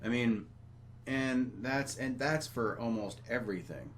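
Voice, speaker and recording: A man's voice: mid-range voice, a little slow, slight background noise. A man chatting casually about games as a podcast host, complaining bluntly and sardonically with plenty of swearing. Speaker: video game podcast host.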